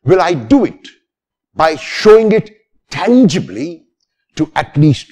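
An older man preaching a homily in a church sanctuary. His voice sits high for a man and moves widely up and down, yet the delivery is slow and unhurried. The tone is mellow. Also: slightly rough. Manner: animated preaching with sweeping arm gestures. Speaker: priest. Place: church sanctuary at a lectern, indoors.

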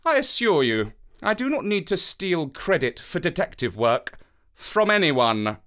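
Victorian gentleman detective, voiced by a man: proud, formal, pitched up, very animated intonation. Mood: indignant. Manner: formal, measured, emphatic. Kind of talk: children's storybook character voice.

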